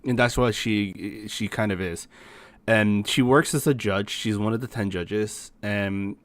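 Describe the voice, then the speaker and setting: A man's voice: fairly low pitch, a little slow, no room sound. A man giving casual, informal explanations in conversation as a host. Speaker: host explaining game characters. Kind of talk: casual chat commentary.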